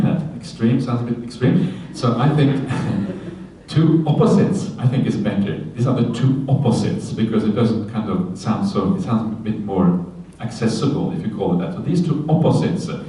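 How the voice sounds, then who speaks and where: A man, a Buddhist monk giving a relaxed dharma talk on a sutta, often checking in with his listeners and adding light humorous asides. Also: medium pitch, a little hiss or hum. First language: Norwegian. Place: retreat hall. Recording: very echoey hall, voice from a distance, faint ambience.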